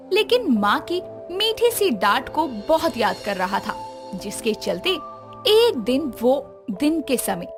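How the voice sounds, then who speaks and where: A woman narrating a scripted animated moral story as its storyteller. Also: a little high.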